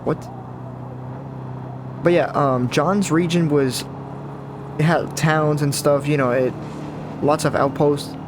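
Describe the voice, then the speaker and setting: A man with a deeper, just-woke-up morning voice, chatting casually and rambling over his gameplay as a gaming video host. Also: steady tone, takes it slowly, long pauses, noisy background. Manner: chatty, rambling, self-correcting. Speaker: gaming YouTuber. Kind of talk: casual let's-play commentary. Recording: voice over loud game audio.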